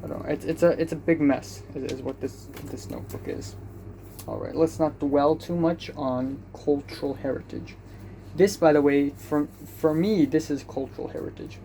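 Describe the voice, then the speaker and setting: Young man, rambling self-mocking vlog chat, slightly high voice, faint room hiss. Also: fairly even intonation, normal speed, creaky, warm tone. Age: young adult. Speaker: vlogger. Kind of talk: casual diary vlog.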